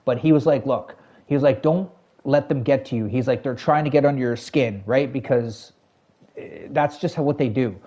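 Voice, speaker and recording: Casual Canadian male streamer, warm dark tone, chatty storyteller with fillers. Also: mid-range voice, moderate pace. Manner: rambling, lots of fillers and self-corrections, talks to chat. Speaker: game streamer. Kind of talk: casual storytelling to a live chat.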